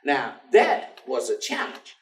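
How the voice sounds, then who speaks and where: An actor playing a warm, streetwise American ex-convict turned preacher in a staged reading, with a folksy, slangy, teasing way of telling things. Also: male voice, mid-range voice, wildly swooping pitch, slow pace. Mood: reflective. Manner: folksy slangy drawling storyteller, teasing, chuckling. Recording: echoey theatre stage, distant mic.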